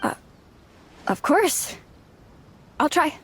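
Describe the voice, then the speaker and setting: A woman's voice: drawn-out words, fairly bright tone, slight background noise. A young woman playing an overworked, self-doubting office worker in a game cutscene, hesitant and confiding. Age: young adult. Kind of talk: acted video game cutscene dialogue. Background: background music.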